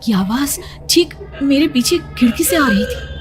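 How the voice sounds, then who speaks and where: A young woman narrating a horror cartoon story in the first person, hushed and suspenseful. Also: high voice, animated, measured pace, music in the background. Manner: hushed, suspenseful, rising to frightened.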